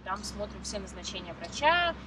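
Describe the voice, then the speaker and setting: A woman from Moscow, an interview guest, explains things in a measured way while outdoors. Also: medium pitch, big pitch swings. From Moscow, Russia. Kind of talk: explanatory conversational answers. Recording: distant mic with loud traffic noise.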